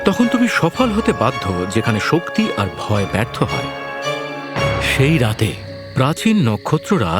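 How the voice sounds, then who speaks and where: A man narrating a scripted fairy tale as the storyteller. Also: mid-range voice.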